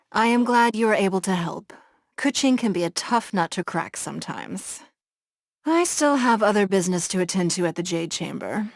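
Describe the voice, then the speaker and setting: A woman voicing a poised, shrewd noblewoman who leads a harbor city, speaking in a measured, formal and composed way in a game cutscene. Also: medium pitch, no room sound, clean studio sound, lightly acted. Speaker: game character.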